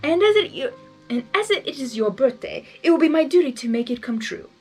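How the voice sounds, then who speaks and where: A woman reads out a grand, playful male chaos spirit who dotes and shows off. The voice sits high and pushes loud, with a theatrical lilt that fusses over every word, like a storybook trickster. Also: sing-song, a little fast, soft background music. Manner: theatrical, doting, showy. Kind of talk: fan fiction reading.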